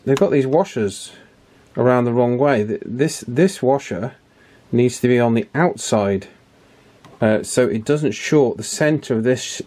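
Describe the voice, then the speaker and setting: A man's voice: medium pitch, darkish tone. A man giving informal hands-on repair commentary as the host, explaining things as he thinks aloud. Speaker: radio repair hobbyist and video host.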